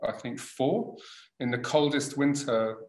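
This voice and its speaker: A man in his fifties hosting a casual video-call interview from his living room. He talks haltingly, with many restarts and fillers. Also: mid-range voice, a little mellow. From born in Tunisia, grew up in England. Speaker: host, designer. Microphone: laptop webcam on a video call. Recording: roomy video-call audio.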